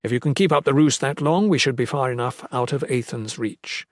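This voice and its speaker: A man voicing a calm sorcerer in an audiobook, cautioning and instructing in a measured way. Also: medium pitch, dry recording, studio quality, lightly acted. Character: calm, cautioning sorcerer advising a disguised ally.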